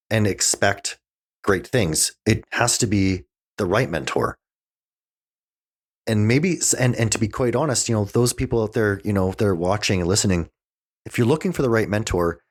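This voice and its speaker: Animated low-voiced man in his forties. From Canada. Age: 40s. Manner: animated, emphatic, many restarts and "you know". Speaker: podcast guest. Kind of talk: casual conversation. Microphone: desk mic he keeps knocking.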